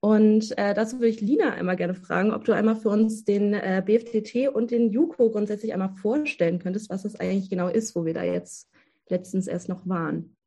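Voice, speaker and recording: A woman's voice: mid-range voice, fairly even intonation, a little fast, warm tone. A twenty-year-old woman hosting a podcast, talking casually with frequent hesitations and filler sounds. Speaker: podcast host, association member.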